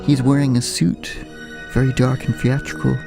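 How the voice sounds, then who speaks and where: Gravelly guy narrating a creepy audio drama, slow and suspenseful, music playing under him. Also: medium pitch, little pitch movement. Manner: measured, suspenseful. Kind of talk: scripted horror narration.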